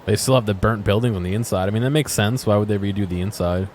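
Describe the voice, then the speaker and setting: A man giving casual, off-the-cuff video game commentary, thinking aloud in halting fragments and questions to himself. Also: fairly low pitch, darkish tone, a little hiss or hum.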